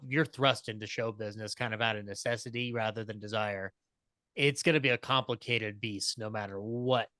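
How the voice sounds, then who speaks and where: A man's voice: medium pitch, bright, forceful tone, clear, resonant tone, no echo. A man talking casually as a podcast panelist, thinking aloud in a rambling, thoughtful way.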